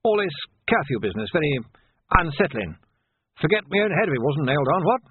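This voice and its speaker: A man's voice: a little high, sing-song, brisk, breathy edge, no echo, voice pushed up. A man voicing a flustered, proud but doubting police captain of nearly seventy, hesitant and full of old-fashioned military bluster, in an audiobook. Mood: embarrassed, muttering. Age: 60s. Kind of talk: audiobook character voice. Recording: narrow, phone-like band.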